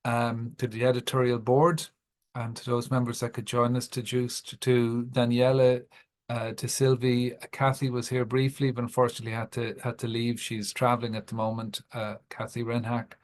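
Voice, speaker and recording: A man with a medium-pitched voice chairs an academic session. He speaks courteously and appreciatively, in a somewhat halting way, as he delivers formal thanks. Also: monotone. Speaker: panel chair. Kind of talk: closing remarks at an academic event.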